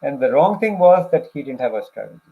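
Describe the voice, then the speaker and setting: A man co-hosting a conversational careers livestream, speaking in a halting way and repeating points for emphasis over a video call. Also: on the high side, big pitch swings, phone-quality sound. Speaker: co-host of a career advice livestream for immigrants.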